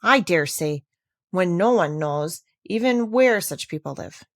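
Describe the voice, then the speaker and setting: A woman's voice: fairly low pitch, animated, unhurried, no room sound. A woman acts out a young goatherd boy from a children's storybook. He is sullen and scornful, and easily frightened. The sound is dry, with no room around it. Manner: cross, scornful, stammering when afraid. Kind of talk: children's storybook read aloud.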